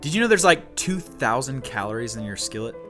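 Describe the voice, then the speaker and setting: Actor as patronizing boyfriend, mid-range male voice, nagging and stingy. Soft music behind. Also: medium pitch, big pitch swings, a little fast. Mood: condescending. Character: sloppy, condescending, stingy young boyfriend. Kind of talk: audio drama acting.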